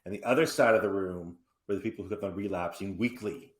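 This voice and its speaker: A man's voice: low-pitched, fast talker. Man in his forties, roomy sound. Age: 40s. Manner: rambling, animated storytelling with restarts and asides. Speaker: podcast host. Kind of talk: casual conversational interview. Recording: roomy echoey room.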